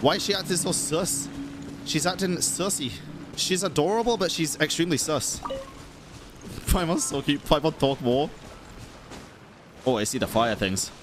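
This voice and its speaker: Playful higher-voiced male game streamer. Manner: playful, slangy. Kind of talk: casual live gameplay commentary, chatting to viewers. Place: indoor room at a streaming setup. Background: game music and sound effects.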